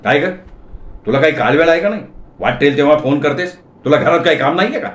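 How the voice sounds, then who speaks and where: A man's voice: a little high, lively intonation, fast, reverberant, close to an everyday voice. A man playing an irritable, blustering boss and husband in a comic story, cranky and imperious.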